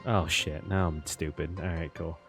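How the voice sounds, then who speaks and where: A man's voice: sits low, steady tone, normal speed, fairly bright tone, breathy edge, soft background music. A man doing casual live reaction commentary on a game as a streamer, slangy and sweary, often repeating his phrases.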